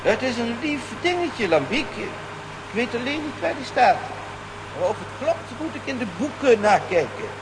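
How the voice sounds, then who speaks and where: An actor playing a fussy, stubborn, scholarly professor in a children's audio drama. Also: male voice, extremely high pitch, sing-song, moderate pace, exaggerated voice. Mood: musing. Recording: clear background noise.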